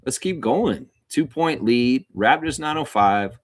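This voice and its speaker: A man's voice: medium pitch, expressive, slow pace. A man hosting a casual basketball watchalong, calling the play-by-play with informal, chatty asides. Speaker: live stream host calling play-by-play.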